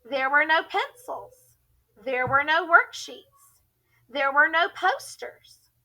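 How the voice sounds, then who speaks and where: A woman teacher reading a children's story aloud in an expressive, deliberate storytelling style. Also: sits high, rough recording.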